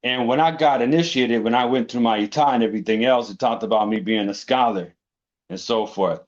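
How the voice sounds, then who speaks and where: A man in a podcast conversation recounts his path at an easy storytelling pace, checking in with his listener every few phrases. His voice is mid-pitched and the recording sounds a bit muffled and lo-fi. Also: fairly quick. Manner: relaxed storytelling, peppered with "you know" and "right". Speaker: interview guest. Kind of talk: casual conversation.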